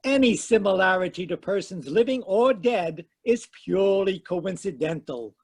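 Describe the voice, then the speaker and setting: A man's voice: high-pitched, expressive, moderate pace, a little mellow, husky. A man hosting and announcing a radio drama, formal with playful asides in the credits. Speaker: announcer and host. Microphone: home mic over video call.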